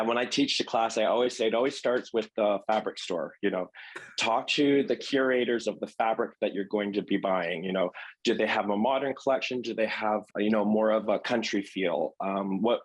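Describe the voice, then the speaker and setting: A man's voice: fairly low pitch, moderate pace, husky, low-quality recording. A man with a Canadian accent chatting casually as an interview guest, telling personal stories. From London, Ontario, Canada. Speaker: interview guest, quilter and teacher.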